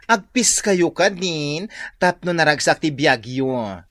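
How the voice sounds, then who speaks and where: A woman's voice: low voice, expressive, takes it slowly, a bit bright, no echo, clean studio sound, heavily acted. A woman with an Ilocano accent playing a gossipy, placating beautician in a radio drama, exclamatory and theatrical.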